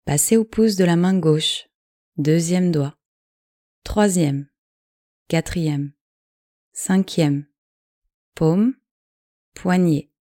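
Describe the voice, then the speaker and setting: A woman guiding a yoga nidra relaxation in calm, measured, soothing short phrases. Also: on the low side, dry recording, clean studio sound. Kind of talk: guided relaxation meditation, slow instructions.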